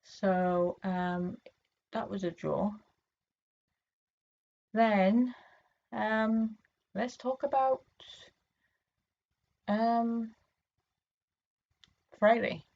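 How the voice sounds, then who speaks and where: A woman from England chatting casually to camera in a vlog, her throat a little sore and hoarse, rambling with lots of ums and restarts. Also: mid-range voice, fairly even intonation, very slow, long pauses, a little mellow, lo-fi sound. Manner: rambling, many fillers and restarts. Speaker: vlogger.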